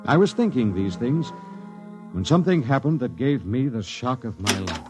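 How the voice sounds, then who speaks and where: A man's voice: medium pitch, very animated, lightly acted. A man playing a grim doomed space captain. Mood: grave. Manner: grave, measured narration turning sharp and bitter. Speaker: expedition captain narrating. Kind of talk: 1950s radio drama acting. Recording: muffled old radio broadcast with music.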